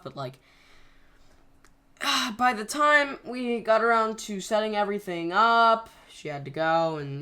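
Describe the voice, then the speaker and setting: Rambling, bright-voiced video creator. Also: mid-range voice, clean tone. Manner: rambling, many fillers and self-corrections. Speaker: channel creator. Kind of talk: casual behind-the-scenes commentary.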